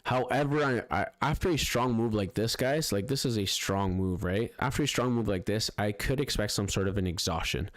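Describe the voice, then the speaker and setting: A man talks through market charts on his live stream in a relaxed, rambling way, calling his viewers "guys" and checking in with "right?". His voice sits on the low side. The sound is close and dry, with almost no room in it. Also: normal speed, few pauses, dry recording. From Spanish-speaking background. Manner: chatty, repeats "guys" and "right?", frequent fillers and restarts. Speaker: forex trading livestream host. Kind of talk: casual live-stream commentary over charts.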